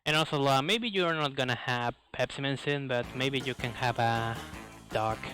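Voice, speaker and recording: Casual male speedrunner over loud game music. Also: mid-range voice, moderate pace. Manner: non-native English phrasing. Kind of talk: live speedrun commentary, casual. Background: video game music and effects.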